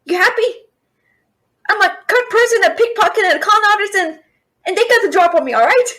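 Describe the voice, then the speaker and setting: Girl voice actor doing cheeky scared thief lady, really high voice, stammery bluffing, fantasy audio roleplay. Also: expressive, sharp tone, full character voice. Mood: defensive, indignant. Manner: nervous, stammering, fast-talking bluffer. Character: cheeky, scared young female thief, talking her way out.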